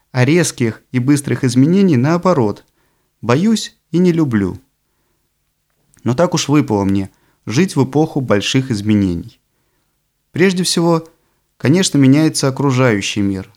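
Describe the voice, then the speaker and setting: A man with a medium-pitched voice reads as an audiobook narrator. His delivery is scripted and deliberate. He sets out each phrase in a measured way, as prose read from a book. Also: a little mellow.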